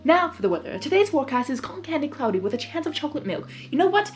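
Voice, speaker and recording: A woman plays a male chaos creature from a storybook, smug and mocking. The voice is breezy and theatrical, pitched fairly high, with music behind it. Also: sing-song, rapid-fire. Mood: mock cheerful.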